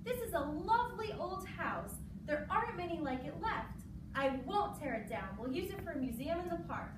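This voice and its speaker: Woman reading as a proud, decisive official, high-pitched. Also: expressive, moderate pace. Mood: inspired. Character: bald government man, decisive, proud of his ideas. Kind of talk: children's storybook read-aloud. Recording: echoey, very noisy room, distant mic.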